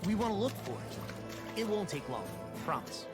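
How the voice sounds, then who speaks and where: A brash young fighter from a video game. His delivery swings widely and sounds cocky and teasing. Loud game music plays behind him. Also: high-pitched, sing-song, moderate pace. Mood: casual.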